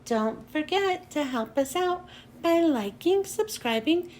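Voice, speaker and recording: A woman narrating a children's storybook as a read-aloud host, in an expressive, careful storytelling manner. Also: very high-pitched, slow pace, a little hiss or hum.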